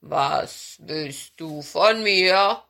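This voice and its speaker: A big brown storybook bear performed by a woman, lowered and gravelly. He grumbles lazily, drawing out each word, gruff but easygoing. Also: pushed and bright, heavily acted. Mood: lazy surprise. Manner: growly grumbling, drawn-out words.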